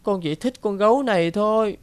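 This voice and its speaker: A man's voice: high-pitched, fairly quick, smooth, natural voice. A man voicing an earnest, polite, affectionate little boy in a cartoon. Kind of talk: cartoon dub acting.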